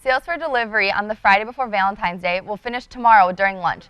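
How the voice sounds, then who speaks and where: A teenage girl co-anchoring a school news broadcast, bright and quick. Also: medium pitch, clean tone, no echo, muffled recording.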